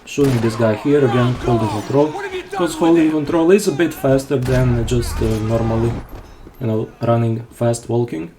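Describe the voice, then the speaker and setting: A man's voice: medium pitch, normal speed, a crowd in the background. Man explaining his speedrun. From Croatia. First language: Croatian. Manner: explaining step by step, casual, self-deprecating. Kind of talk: live gameplay commentary. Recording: game sounds and gunfire behind.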